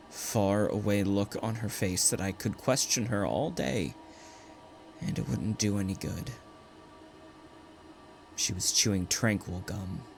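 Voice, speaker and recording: A man playing a frightened, brooding condemned prisoner, narrating his ordeal theatrically in a first-person audio drama. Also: low-pitched. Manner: theatrical, anguished, swelling from hushed to urgent. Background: music.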